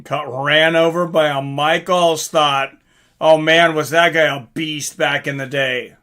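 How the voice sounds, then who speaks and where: Male live fight commentator, high bright voice, informal and excitable, chatty streamer delivery. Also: a little slow. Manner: excitable, informal, swearing, reacting aloud. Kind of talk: live fight commentary mixed with casual chat to viewers.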